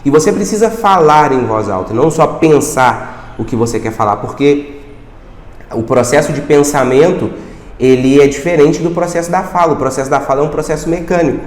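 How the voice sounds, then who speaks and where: A man's voice: a little high, fairly quick. A man giving a casual video lesson, talking conversationally and often repeating words while he finds his phrasing. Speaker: language teacher. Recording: echoey room with long reverb.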